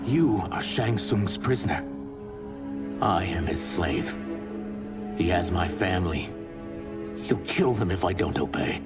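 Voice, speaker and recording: An actor playing a sorrowful, fearful enslaved reptilian shapeshifter in a video game, earnest and anguished. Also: male voice, low voice, animated, unhurried, pauses often, over loud music. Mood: resigned. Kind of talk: dramatic video game voice acting.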